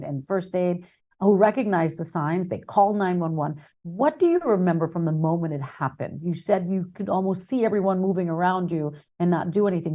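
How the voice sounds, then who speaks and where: A woman's voice: on the low side, darkish tone. A woman hosting a conversational interview, chatty and responsive to her guests. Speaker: interview host.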